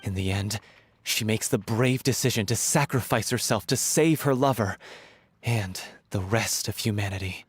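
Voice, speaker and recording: An actor playing an excitable playwright who lays out a story in an animated, eager way. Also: male voice, fairly low pitch, moderate pace, a little hiss or hum, lightly acted. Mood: earnest. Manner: animated, eager narration of a plot.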